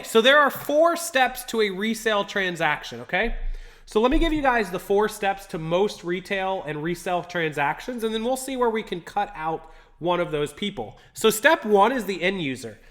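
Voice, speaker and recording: A man's voice: high-pitched, pushed and bright. A man hosting a live stream, chatty and upbeat, greeting viewers by name and sounding a little congested from a stuffy nose. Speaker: livestream host. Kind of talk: casual live-stream talk to chat.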